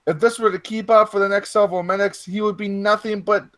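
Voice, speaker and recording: A man's voice: sits high, steady tone, fast talker, a bit bright. A man hosting a mocking read-aloud of fan fiction, repeating lines emphatically and dropping in joking asides.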